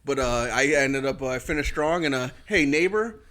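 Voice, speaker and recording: A man's voice: medium pitch, lively intonation, normal speed, a bit bright. A man co-hosting a comedy podcast, riffing and joking with crude humor. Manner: joking, riffing, laughs often. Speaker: podcast co-host.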